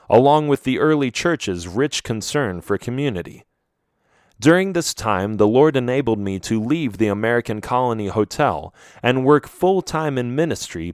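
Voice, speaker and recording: A middle-aged man from Jerusalem, a native Arabic speaker, reading his own memoir in English in a measured, earnest way. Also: medium pitch, no room sound, clean studio sound. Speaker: author narrating his memoir. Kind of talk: audiobook memoir narration.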